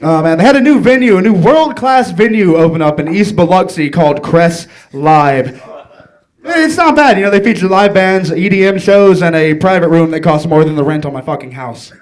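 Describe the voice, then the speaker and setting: A man's voice: high-pitched, lively intonation, normal speed. A young man hosting a local talk show from a small bar, cracking crude jokes. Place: small bar venue, indoors.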